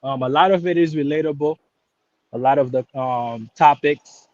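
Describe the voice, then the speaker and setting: A man's voice: on the high side, moderate pace, darkish tone, dry recording, lo-fi sound. A man in his thirties, born in Haiti and raised in Florida, calling in to a live stream and talking casually with many restarts and fillers. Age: 30s. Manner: hesitant, many restarts and fillers, laid-back slang. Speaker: caller.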